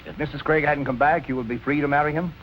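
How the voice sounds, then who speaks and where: An actor playing a calm police captain in 1940s film noir style, questioning in a measured, politely insistent way. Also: male voice, fairly high pitch, dull audio, slight background noise, close to an everyday voice.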